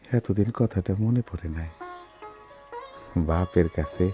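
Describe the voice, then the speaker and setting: A man playing an ageing, gentle village goldsmith and worried father in a Bengali radio drama, weary and reflective, with a rural Bangladeshi accent. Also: low voice, slow pace, lo-fi sound, faint music behind. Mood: pensive, regretful. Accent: rural Bangladeshi dialect.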